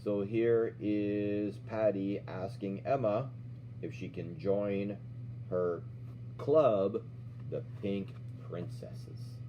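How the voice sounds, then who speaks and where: A middle-aged man reading a children's storybook aloud as an instructor, pausing to explain and check in with questions. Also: on the low side. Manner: checks in with questions, often ending "right?". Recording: dull lo-fi sound with faint hum.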